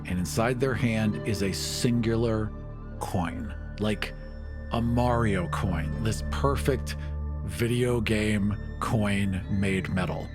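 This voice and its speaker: A man narrating a tabletop game as game master, with emphatic, vivid and deliberate storytelling. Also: on the low side. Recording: music bed behind the voice.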